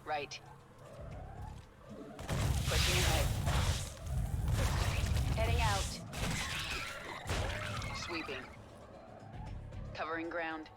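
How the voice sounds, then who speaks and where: A determined psychic heroine of a video game. She speaks in terse, purposeful phrases with a wry edge. Loud game music runs underneath her. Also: low voice, drawn-out words, long pauses. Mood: focused, determined. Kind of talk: video game character dialogue and unit responses.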